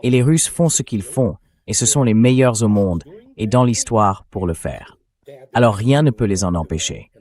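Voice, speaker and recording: Low-voiced man giving blunt commentary. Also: expressive, normal speed, a little mellow. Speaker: interview guest, military commentator. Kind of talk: translated interview voice-over, political commentary.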